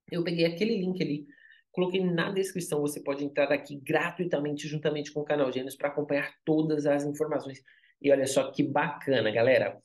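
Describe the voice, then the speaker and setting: A young man hosting a crypto channel, talking upbeat and salesy over a screen-share walkthrough, always tagging lines with quick asides. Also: fairly high pitch, a little mellow. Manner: upbeat, salesy, constant "olha só" and "tá?" tags.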